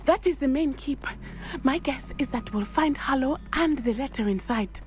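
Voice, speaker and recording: High-voiced, purposeful young heroine played by a woman. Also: moderate pace, darkish tone, natural voice. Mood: cautious, focused. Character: determined young woman companion on a stealth rescue mission. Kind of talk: video game voice acting. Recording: game music behind.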